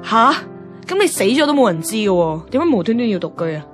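An actress as a young Hong Kong Cantonese woman, the blunt best friend who nags and teases. Her medium-pitched voice is brisk and theatrical, in radio drama style, with music playing clearly behind her. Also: big pitch swings, close to an everyday voice. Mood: exasperated. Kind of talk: radio drama acting and narration.

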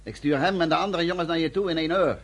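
An actor playing a weary, grave underground army commander in a radio drama, with a husky, gravelly voice. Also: male voice, fairly high pitch, rapid-fire. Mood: commanding.

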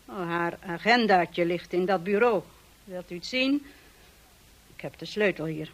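A woman's voice: on the low side, a little slow, lightly acted. Woman playing a cold, proud housekeeper. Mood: clipped. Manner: clipped, guarded, disdainful. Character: cold, proud, fiercely devoted female housekeeper. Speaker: actor in a radio play. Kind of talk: Dutch radio drama acting.

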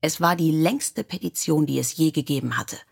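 A woman narrates in a scripted storybook style. Her voice sits a little low for a woman and has a slightly husky, less polished grain. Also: normal speed. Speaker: audiobook narrator.

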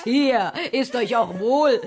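A woman's voice: a little high, expressive, slow pace, heavy background noise. An actress playing a haughty middle-aged queen and mother, protesting sharply in a radio play. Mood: reproachful.

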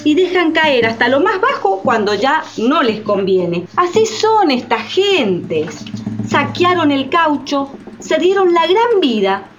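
A bitter, impassioned woman in a radio play. Her fairly high voice swings expressively as she speaks with emphasis. The recording is a little muffled. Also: big pitch swings, slow pace, heavily acted. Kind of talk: radio theatre dialogue. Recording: dull sound with faint noise.

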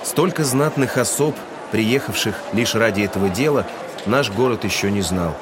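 A man's voice: on the low side, moderate pace, noisy background. A man giving scripted literary narration as the narrator of an audio drama.

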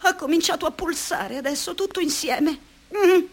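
An actress playing a worn-out, ailing young woman in a radio play, speaking quietly and wearily. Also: extremely high pitch, moderate pace. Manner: quiet, weary, dreamy. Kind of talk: Italian radio play acting.

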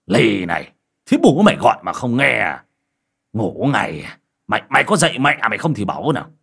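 Low gruff old village dad played by a man, drawling then hollering, lively swings. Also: measured pace, heavily acted. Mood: yelling. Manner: drawling with hesitant "à", bursts into loud scolding. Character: gruff rustic old village father, hot-tempered.

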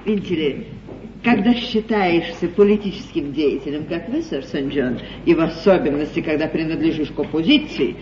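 An actress playing a cunning, haughty duchess with coolly mocking, ironically polite, theatrical delivery in a stage comedy. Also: female voice, low-pitched, moderate pace, a little mellow, reverberant, lightly acted. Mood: mocking, deliberate. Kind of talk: classical stage comedy acting. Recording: muffled, hissy 1950s theatre broadcast.

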